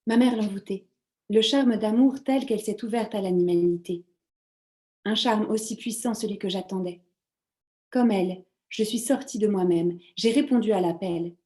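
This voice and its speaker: A woman whose first language is French, reading her poetry slowly over a video call. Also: mid-range voice, dark tone. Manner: slow, measured recitation. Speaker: poet.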